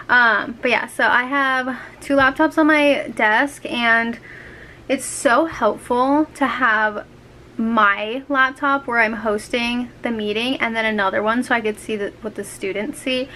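Young teacher lady vlogging from her bedroom, bright voice going a bit scratchy, faint hum behind. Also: fairly high pitch. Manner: chatty, lots of fillers, animated hands. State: voice getting scratchy and dry. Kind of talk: casual chat to camera. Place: small bedroom with laptop, indoors. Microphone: handheld camera at arm's length.

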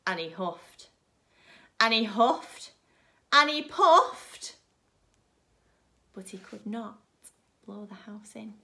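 A woman's voice: medium pitch, gravelly, pushed and bright. A woman in her thirties, a primary school teacher, reading expressively to young children. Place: small furnished room at home, indoors.

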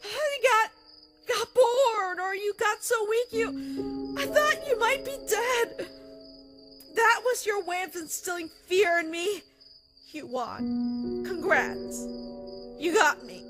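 Young human woman, female actor: earnest, expressive, emotional, high and unhurried, music underneath. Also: full character voice. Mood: hurt. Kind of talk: comic dub voice acting. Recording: music behind the voice.

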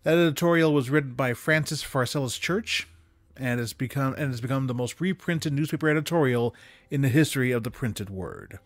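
A man's voice: medium pitch, a little fast, dry recording. Man reading a classic story aloud, dry close sound. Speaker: reader of holiday stories aloud. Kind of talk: expressive read-aloud of classic prose. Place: small indoor room with bookshelves. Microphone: desk condenser microphone, close. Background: music.

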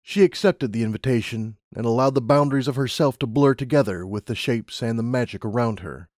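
A man's voice: mid-range voice, brisk, a little mellow, dry recording, clean studio sound. A man reading a story as a scripted audiobook narration.

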